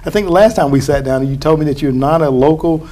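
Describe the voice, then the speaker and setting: Male host of a city cable interview show; mellow, relaxed, conversational. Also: on the high side, fast. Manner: friendly, conversational, lots of fillers. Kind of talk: local TV interview.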